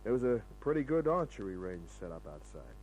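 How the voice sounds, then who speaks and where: Low-voiced wisecracking radio detective. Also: male voice, sing-song, a little fast, a little mellow, soft background music, low-quality recording. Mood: dry, narrating. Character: wisecracking male private detective, flirtatious, tough, easygoing. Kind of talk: old-time radio drama acting with first-person narration. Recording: old radio recording with hum.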